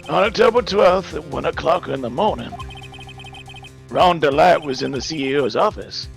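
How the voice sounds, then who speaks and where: A man's voice: a little high, lively intonation, normal speed, creaky, soft background music. A man voicing a smug, taunting prosecutor in a game fan dub, with a sardonic drawl. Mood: smug, grave. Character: smug, cool, taunting prosecutor who says amigo.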